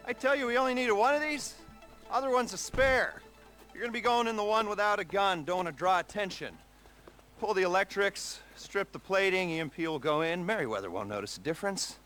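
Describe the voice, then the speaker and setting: An actor playing a brisk, glib heist planner in a video game cutscene, with a clipped, breezy manner. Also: male voice, high voice, lively intonation, fairly bright tone, faint music behind. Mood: brisk, impatient. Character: brisk, glib male heist planner briefing his crew.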